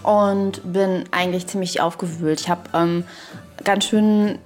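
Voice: medium pitch, steady tone, measured pace, faint music behind. A woman's voice co-hosting a podcast in casual chat, playful and ironic, wandering from thought to thought. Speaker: podcast co-host.

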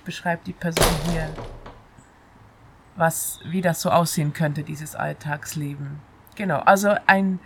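A woman's voice: lowish voice, slight background noise. A woman presenting texts casually and informally, hesitating and mixing English terms into her speech. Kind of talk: casual spoken text recommendation.

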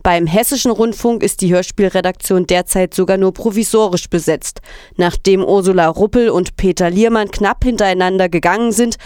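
Female news reader, smooth clean voice of middle pitch, dry studio-like sound, formal scripted copy. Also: moderate pace, a little mellow, no echo. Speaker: reader of quoted articles and statements.

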